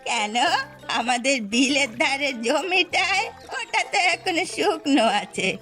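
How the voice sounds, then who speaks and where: A woman's voice: high voice, expressive, unhurried, bright, forceful tone, soft background music, full character voice. A woman voicing a kindly step-grandmother of a village family in a cartoon. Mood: encouraging. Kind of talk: cartoon voice acting.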